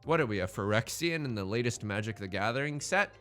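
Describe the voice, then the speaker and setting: A man's voice: on the low side, lively intonation, fast, fairly bright tone, faint music behind. A man hosting a gaming let's play with casual, joking commentary, thinking aloud in a rambling, playfully dramatic and self-deprecating way. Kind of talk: casual running game commentary with joking banter.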